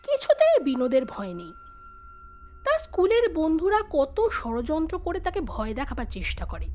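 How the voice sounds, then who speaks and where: A woman narrates a suspense story in a very high voice. Her delivery is expressive and swings widely in pitch, with faint night ambience behind it. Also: a little hiss or hum. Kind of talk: dramatic scripted horror story narration.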